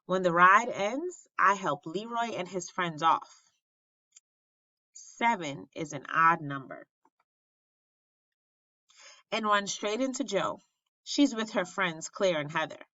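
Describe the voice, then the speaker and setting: A woman reading a children's picture book aloud like a teacher, with expressive, animated and instructive storytelling. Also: lowish voice, slow pace, long pauses. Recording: roomy, slightly muffled sound.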